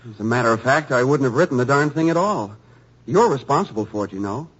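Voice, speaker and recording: An eager young author with a husky, breathy voice that stumbles and repeats words in his earnestness. He sounds humble and grateful. The recording is dull and lo-fi, like an old radio show. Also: mid-range voice, lively intonation, fast. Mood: earnest. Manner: earnest, stammering with nervous eagerness. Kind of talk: 1950s radio drama acting.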